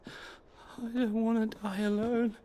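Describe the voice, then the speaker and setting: A woman's voice: fairly high pitch, level tone, slow pace, warm tone. An actress playing a dying woman bearer in a video game, her voice weak, fading and breathless. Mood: frightened.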